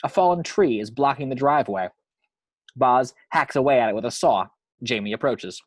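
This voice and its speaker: Husky, dry-sounding man narrating a script. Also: mid-range voice, expressive, normal speed, dry recording. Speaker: reader of action descriptions.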